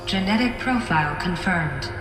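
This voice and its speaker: A woman's voice: lowish voice, expressive, moderate pace, natural voice. A woman voicing an emotionless computer security system in a video game, giving clipped, formal announcements. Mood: impassive. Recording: echoey sound under loud music.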